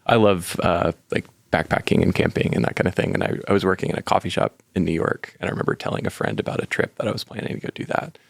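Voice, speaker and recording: Deep gravelly man, hesitant and thoughtful. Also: steady tone, fast talker, few pauses, dry recording. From North Carolina. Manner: thoughtful, hesitant, soft-spoken. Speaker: band member guest. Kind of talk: casual interview.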